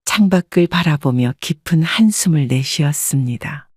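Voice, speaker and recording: A woman narrating a first-person life story in a calm, measured, reflective way. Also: sits low, breathy edge, no room sound, studio quality.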